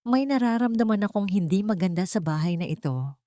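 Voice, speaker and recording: A woman's voice: mid-range voice, lively intonation, a little fast, mellow, no room sound, studio quality, close to an everyday voice. A woman with a Filipino accent voicing an imperious, scheming older matriarch in a story, commanding and ruthless. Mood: alarmed, commanding.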